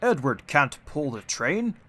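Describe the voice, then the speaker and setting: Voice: mid-range voice, very animated, unhurried, pushed and bright, heavily acted. A narrator voicing a proud, rude male express steam engine who pants and complains. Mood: scornful. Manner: panting, complaining.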